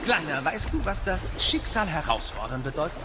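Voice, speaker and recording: A man's voice: on the high side, big pitch swings, moderate pace, heavy background noise. An actor playing a chatty, wry older man who is a severed head, in an audio drama. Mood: wry warning. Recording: loud music behind the voice.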